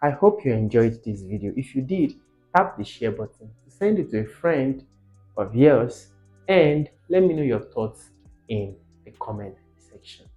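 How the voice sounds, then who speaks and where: A man's voice: mid-range voice, big pitch swings, unhurried, mellow, lo-fi sound. Man teaching, music behind him. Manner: emphatic, repeats key words, asks rhetorical questions. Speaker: teacher, video host. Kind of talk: informal teaching, explanatory.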